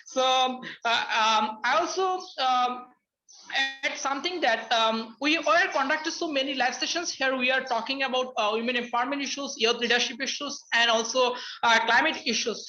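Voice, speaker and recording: High bright Bangladeshi woman, halting. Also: highs cut off. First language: Bengali. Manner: hesitant, many uh and um fillers, restarts. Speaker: webinar panelist. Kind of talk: halting spoken remarks in a webinar. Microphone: video call.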